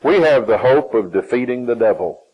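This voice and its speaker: A Southern-accented man preaching, his voice slightly husky and mid-pitched. He leans hard on key phrases, swinging up and dropping down as he drives each point home. The recording sounds dull and lo-fi. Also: animated, normal speed. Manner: emphatic preaching with rising and falling pitch and stretched phrases. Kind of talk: sermon.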